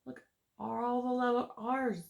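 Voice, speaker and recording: A woman's voice: a little high, flat delivery, slow, gravelly, a little hiss or hum. A woman reading a picture book aloud to small children as a teacher would, stretching words for dramatic emphasis and adding asides to her listeners.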